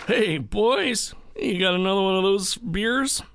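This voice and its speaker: A man's voice: high-pitched, unhurried, creaky, background noise. A man playing a brash, hard-drinking ranch owner in an audio drama, blustery, folksy and defiant. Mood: boastful, tipsy. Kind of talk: full-cast audio drama acting.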